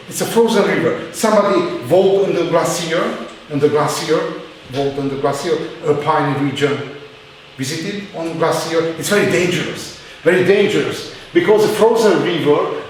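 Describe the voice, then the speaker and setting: A man lecturing in halting English with a Hungarian accent, with many fillers and restarts. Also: on the high side, slight background noise. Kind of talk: university lecture. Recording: very echoey hall, mic far off.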